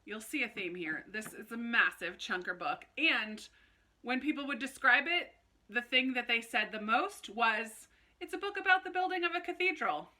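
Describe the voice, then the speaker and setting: A woman chatting casually to camera like a book vlogger, in an animated, informal way with little self-interrupting asides. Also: fairly high pitch, normal speed. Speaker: book review video host. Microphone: phone camera recording.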